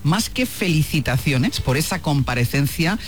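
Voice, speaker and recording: A male anchor presents the morning news in a measured, composed way, his voice a little higher than most. Faint music runs underneath. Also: expressive. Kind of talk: scripted radio news presenting.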